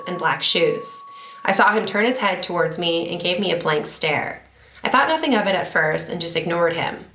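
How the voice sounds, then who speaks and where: A woman's voice: lowish voice, reverberant, slight background noise. A woman who is stuffed up from a cold, chatting casually and then reading scary stories aloud as the host of a horror story channel. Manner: chatty and self-deprecating in the intro, steady when reading. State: congested from a cold.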